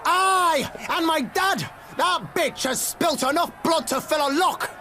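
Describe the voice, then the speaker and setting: An actor playing an enraged, grieving townsman in a fantasy game mob, shouting bitterly. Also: extremely high pitch, slow pace, a little hiss or hum, heavily acted. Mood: grieving rage. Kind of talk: fantasy game cutscene acting.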